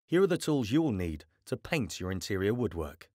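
A man calmly narrating a scripted home-improvement tutorial in a clear, measured way. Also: fairly low pitch, no echo, clean studio sound. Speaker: voice-over narrator.

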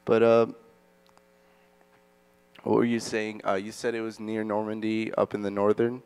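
A man's voice: fairly low pitch, monotone, unhurried, darkish tone, clear, resonant tone. A young man casually moderating a student panel on an auditorium stage, upbeat and full of fillers. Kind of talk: moderating a school chapel panel.

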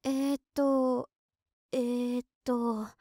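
A woman's voice: a little high, little pitch movement, drawn-out words, mellow, dry recording, studio quality. A woman playing a shy, anxious, devoted young office worker in a voice drama, girlish, flustered and earnest. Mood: flustered, nervous. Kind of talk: Japanese voice drama monologue.

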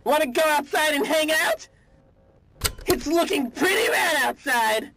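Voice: high-pitched, expressive, unhurried. An actor voicing a cool, laid-back cartoon chicken toy who is secretly timid. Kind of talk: cartoon toy character voice lines. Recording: noisy recording with clicks.

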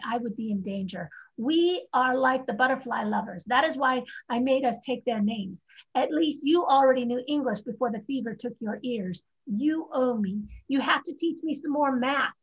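A woman's voice: sits high, animated, normal speed, vocal fry, slightly rough, heavily acted. A woman reading the part of a proud, blunt fifteen-year-old Chinese girl in a staged play reading over a video call. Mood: proud, bitter. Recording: muffled video-call audio.

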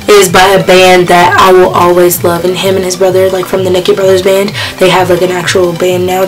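A teenage girl vlogging from her bedroom, talking about her playlist in a chatty, rambling way. Her voice sounds hoarse because she has lost it. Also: lowish voice, poor audio. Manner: chatty, rambling, with many fillers. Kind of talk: casual chat about a music playlist. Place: small bedroom, indoors.

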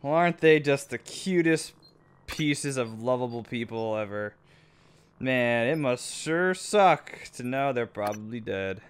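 A man's voice: medium pitch, animated, unhurried, clean tone. A man doing casual let's play commentary, sarcastic and often muttering to himself. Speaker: gamer, let's play host.

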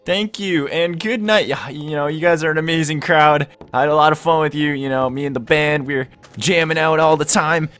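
A man playing an excitable, awkward young man in an improvised video game roleplay, stammering and giddy. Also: fairly high pitch, fairly quick, hardly any pauses, faint music behind, natural voice. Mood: playful, flustered.

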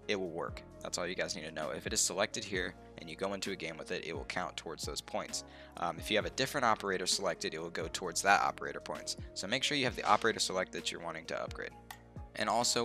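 A man's voice: deep voice, sharp tone. A young man with a stuffed-up, slightly nasal voice, casually walking through game unlocks with lots of ums and uhs at his desk mic. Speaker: gaming youtuber. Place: home room, indoors.

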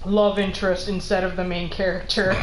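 A young adult woman co-hosting a game stream, chatting casually in an animated, rambling way. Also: mid-range voice, normal speed, a little hiss or hum. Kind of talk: casual chat over gameplay. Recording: roomy stream with game music behind. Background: video game music.

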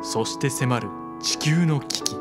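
A man's voice: mid-range voice, unhurried. A man reading scripted, dramatic narration for a game trailer. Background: music.

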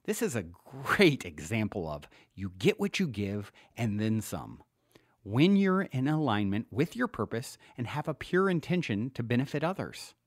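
A man reading a self-help book aloud as scripted narration, in a measured, instructional way with clear phrasing. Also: mid-range voice, very animated, no echo, clean studio sound. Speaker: podcast host reading his own self-help book.

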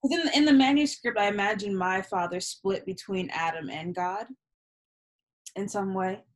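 A woman's voice: medium pitch, moderate pace. A young woman poet, a native Yoruba speaker, talking candidly and animatedly about her writing over a video call from a small room at home. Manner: animated, gesturing, candid with swearing. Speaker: poet, interview guest. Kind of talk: casual reflective conversation. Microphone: laptop webcam on video call.

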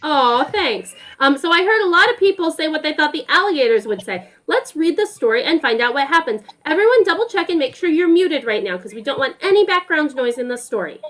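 A woman who teaches music, reading a picture book aloud to young children on a video call, expressive and affectionate, often putting questions to them. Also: sits high, a little fast, hardly any pauses. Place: online class over video call.

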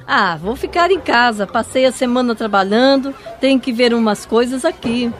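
Woman acting as tired village homebody, Brazilian accent, high animated voice, doubtful, offhand, folksy, radio soap. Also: normal speed, lightly acted. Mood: reluctant, curious.

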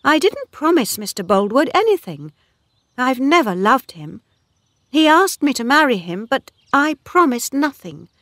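A woman's voice: high voice, very animated, measured pace, fairly bright tone, no room sound, studio quality, voice pushed up, exaggerated voice. A woman voicing a proud, headstrong, lovestruck young mistress of a farm, emotional and insistent, as storybook dialogue. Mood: indignant.

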